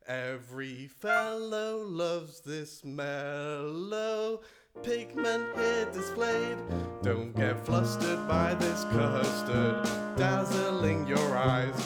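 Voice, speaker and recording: A man singing as an eager, proud sheriff in a comic puppet musical, earnest and showy. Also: a little high. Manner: earnest, showy, playful rhyming delivery. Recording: prominent guitar musical backing.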